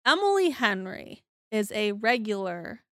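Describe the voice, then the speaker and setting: A woman's voice: mid-range voice, big pitch swings, a little slow, fairly bright tone, dry recording, studio quality. A young woman co-hosting a book podcast, giggly and jokey, swearing casually, a little congested. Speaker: podcast co-host. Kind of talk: casual chat.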